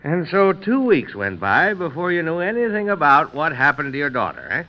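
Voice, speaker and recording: An actor playing a skeptical, probing defense lawyer who questions with condescension in an old radio drama. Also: male voice, on the high side, very animated, moderate pace. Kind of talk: 1930s radio drama acting. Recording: dull old radio recording.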